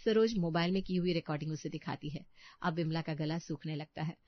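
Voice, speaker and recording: A woman's voice: deep voice, steady tone, a little fast, no echo, muffled recording. A woman reading a scripted story as narrator.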